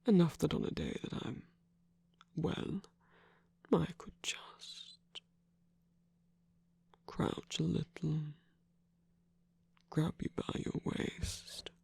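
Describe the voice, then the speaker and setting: A performer of unclear sex voicing a seductive villain lover in an intimate roleplay ASMR, teasing and protective, with a husky, breathy, often whispered voice. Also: mid-range voice, very slow, long pauses, darkish tone, no echo, exaggerated voice. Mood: playfully teasing.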